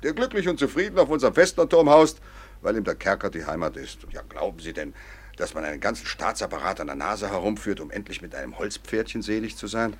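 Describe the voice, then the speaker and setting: A kind-hearted male mayor, deep voice, swooping intonation, emphatic, courteous, radio drama. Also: expressive, fast, slightly rough. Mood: indignant. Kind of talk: German radio play acting.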